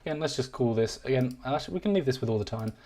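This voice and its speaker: A man giving a live-streamed software walkthrough, rambling and self-deprecating, his voice wearing thin. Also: mid-range voice, expressive, very fast. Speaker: software demo presenter.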